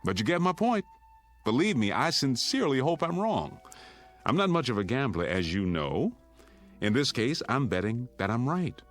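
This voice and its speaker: A man's voice: medium pitch, big pitch swings, moderate pace, faint music behind, natural voice. An American man acting in a radio drama as a level-headed, middle-aged father who takes command. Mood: jovial.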